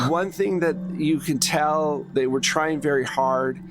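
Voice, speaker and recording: A man running a tabletop horror game, improvising the narration in short phrases with pauses between them. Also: a little high, poor audio. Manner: pausing, piecing out sentences in short phrases. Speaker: game master of a tabletop actual play. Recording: music playing under the voice. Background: music.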